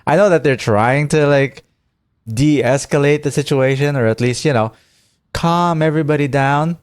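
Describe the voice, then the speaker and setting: A man's voice: fairly high pitch, normal speed, clean tone, dry recording. Casual male gamer, close dry sound. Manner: reactive, informal, jokey. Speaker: gaming streamer. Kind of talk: casual let's-play commentary. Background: game music.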